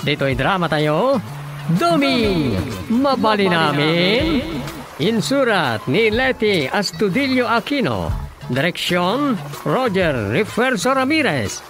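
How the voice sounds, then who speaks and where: Lively Ilocano radio announcer, man. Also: sits high, music in the background. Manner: brisk announcing. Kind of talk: scripted radio program outro.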